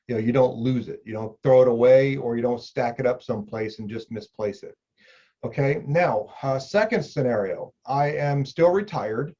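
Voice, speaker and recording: Middle-aged male agent, plain conversational explainer, mid pitch, slightly muffled audio. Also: warm tone. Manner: conversational, repeats key points, addresses viewer directly. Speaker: insurance agent explaining benefits. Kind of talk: explainer video talk.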